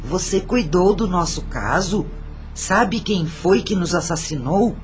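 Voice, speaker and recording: A man's voice: high voice, lively intonation, slow. An actor playing a polite, curious dead man with a Brazilian accent in a radio drama. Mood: curious, courteous. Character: polite, curious murdered man seeking answers. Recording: music under the voice.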